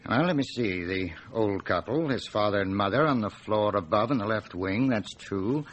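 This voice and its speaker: A man's voice: fairly low pitch, animated, normal speed, low-quality recording. A man playing a talkative, obliging local police inspector in a radio drama, explaining things in detail with slight hesitation. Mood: obliging, explanatory.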